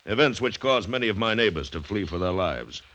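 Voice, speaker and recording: A man's voice: lowish voice, expressive, fairly quick, fairly bright tone. A man acting an arrogant, defiant plantation owner in 1940s American radio drama style, pompous, emphatic and theatrical. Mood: proud, brooding. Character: arrogant, defiant male plantation owner, coolly confident of his intellect. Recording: old radio recording with music.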